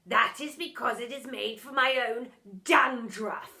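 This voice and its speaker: Woman as villainous male teacher, theatrical, forceful, slow and menacing, storybook reading. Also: on the high side. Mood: gloating. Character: villainous, growling, short-tempered male science teacher.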